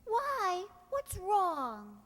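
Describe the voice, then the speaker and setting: A woman's voice: very high-pitched, wildly swooping pitch, very slow, fairly bright tone, in a large hall, heavily acted. A woman playing a sensible but impatient young niece, coaxing her family in old-time radio comedy style. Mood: coaxing, brisk.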